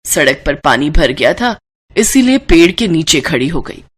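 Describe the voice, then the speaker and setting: A woman's voice: deep voice, big pitch swings, measured pace, breathy edge, voice pushed down, exaggerated voice. A woman voicing an elderly Indian mother-in-law in a cartoon story, stubborn but easygoing, with a homely, coaxing manner. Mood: weary. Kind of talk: Hindi cartoon moral story acting.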